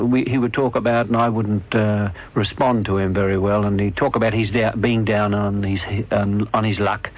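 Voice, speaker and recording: An older man, a veteran performer, reminiscing in an interview with a halting, repetitive, fond way of telling stories. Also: low voice, low-quality recording. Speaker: veteran comedy straight man recalling stage work.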